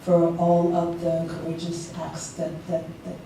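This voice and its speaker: A woman's voice: fairly low pitch, monotone, measured pace, creaky, noisy background. A woman from Liberia speaking earnestly on a panel to a packed room, with many ums and restarts. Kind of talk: panel remarks. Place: large packed event room. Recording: echoey hall, voice picked up from far off.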